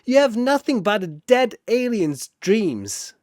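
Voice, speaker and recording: A calm, terrifying young spirit medium voiced by a man in a fantasy tale. She accuses without mercy, slowly and in a slightly high register. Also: dry recording, studio quality, heavily acted. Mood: cold accusation.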